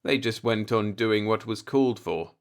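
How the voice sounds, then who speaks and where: A man's voice: lowish voice, normal speed, clean tone, lightly acted. A man reading the part of a brooding, disillusioned professor in an audiobook, musing in a pointed, rhetorical monologue. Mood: bleak musing. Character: brooding, disillusioned male professor philosophizing bitterly.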